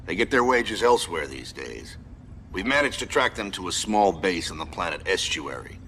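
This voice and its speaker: An actor playing a stern military commander in a video game, speaking in a measured, authoritative and formal way. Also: male voice, low-pitched, very animated, a bit bright, slight background noise, full character voice. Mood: stern, composed. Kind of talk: scripted video game cutscene acting.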